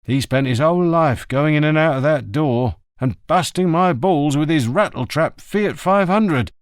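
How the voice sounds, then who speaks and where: A man's voice: fairly high pitch, measured pace, clean tone, no echo, clean studio sound, pitched above the performer's own voice, close to an everyday voice. A man reading an audiobook, voicing a quarrelsome elderly greengrocer who swears and snaps back sarcastically. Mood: irritated. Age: older. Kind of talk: audiobook character voice.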